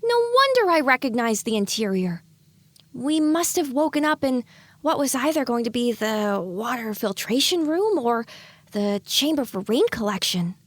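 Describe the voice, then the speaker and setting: A woman plays a young pony mare musing to herself in an audio drama. The voice is somewhat high and recorded very dry. She sounds introspective, questioning and wry. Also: sing-song, normal speed, no echo. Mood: realizing. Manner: musing, questioning inner monologue.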